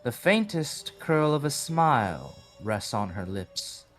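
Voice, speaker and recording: A man narrates a dark audio drama at a very slow, deliberate pace. His pitch moves expressively as he paints each scene with dramatic weight. Music plays softly behind his voice. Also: very animated. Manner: measured, dramatic storytelling delivery. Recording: background music under the voice.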